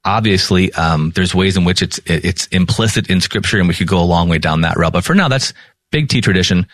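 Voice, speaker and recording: Low-voiced American man teaching. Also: brisk, a bit bright, no room sound. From Minnesota, United States. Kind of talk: informal explanatory lesson with read-out passages.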